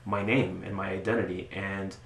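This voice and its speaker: A man talking casually as an interview guest about the club he runs, relaxed and joking, with lots of restarts and fillers. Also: deep voice, moderate pace, vocal fry. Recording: echoey room with faint noise.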